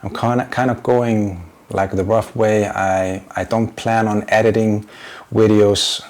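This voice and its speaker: A man's voice: lowish voice, little pitch movement, unhurried, darkish tone. A man in his forties with an Icelandic accent, speaking English as a second language in a casual vlog, hesitant and often searching for words with many fillers. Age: 40s. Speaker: YouTuber and graphic designer. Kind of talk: casual unscripted vlog talk.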